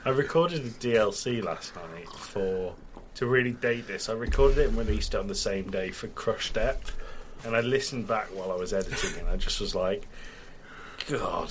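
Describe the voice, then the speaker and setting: Man, slightly deep voice, mild cold, sweary anecdotes, casual podcast chat, noisy recording. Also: normal speed. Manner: sweary, easily sidetracked. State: getting over a cold. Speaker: podcast co-host.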